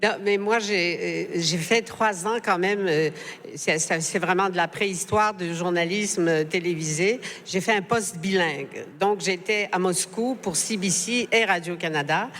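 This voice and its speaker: A woman's voice: on the low side, unhurried, a bit bright. A woman journalist on a panel, speaking hesitantly with frequent fillers; French is not her first language. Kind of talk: live panel discussion. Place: book fair stage. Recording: reverberant hall.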